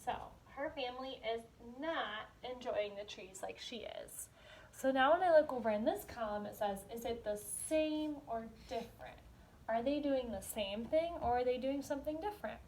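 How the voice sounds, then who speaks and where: Female, kindergarten teacher, high-ish voice, instructional question-and-answer read-aloud style, slight noise on the recording. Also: animated, moderate pace, a little mellow, poor audio. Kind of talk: read-aloud lesson with questions for young children.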